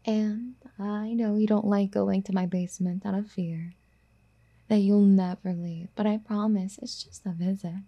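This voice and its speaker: A woman playing a doting, possessive rich girlfriend in an ASMR roleplay, soft-spoken and coaxing, often slipping into whispers. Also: mid-range voice, steady tone, measured pace, highs cut off. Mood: possessive, sly.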